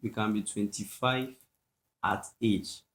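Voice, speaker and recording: A man's voice: lowish voice, steady tone, slow, a bit bright. A man, a pastor talking casually on a podcast, repeating his points and often asking "you get?".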